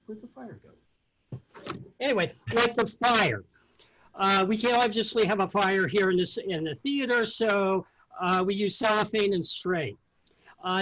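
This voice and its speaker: A middle-aged man, a theatre sound effects artist, explaining informally in a halting way, searching for words. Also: high-pitched, fairly bright tone, low-quality recording.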